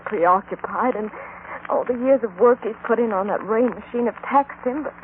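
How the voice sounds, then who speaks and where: A woman's voice: fairly high pitch, moderate pace, lightly acted. A woman playing an earnest, anxious young daughter of an inventor in an old-time radio western. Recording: tinny, noisy old radio recording.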